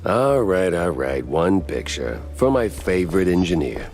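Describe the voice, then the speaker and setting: Deep hardboiled cartoon mouse detective, deadpan but lilting, music behind him. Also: male voice, a little slow, exaggerated voice. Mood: gruff but fond. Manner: deadpan, hard-boiled. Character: wry, world-weary male mouse private detective. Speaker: detective narrator and lead character. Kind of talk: film noir game dialogue and narration.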